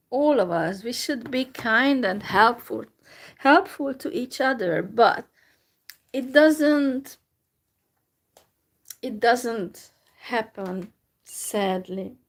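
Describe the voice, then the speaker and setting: A woman with a Hungarian accent speaks slowly in a somewhat high voice. She is talking in a live video monologue, sounding resigned and weary. She hesitates often and trails off between thoughts. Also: animated. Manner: downcast, resigned and hesitant, with many pauses. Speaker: YouTuber.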